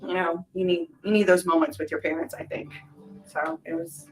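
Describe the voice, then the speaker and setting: A woman's voice: fairly low pitch, fairly even intonation, normal speed, distant mic, soft background music, poor audio. A woman telling her personal story in a reflective, informal way, as in a documentary interview. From Hawaii, island of Kauai. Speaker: shaved-ice business owner.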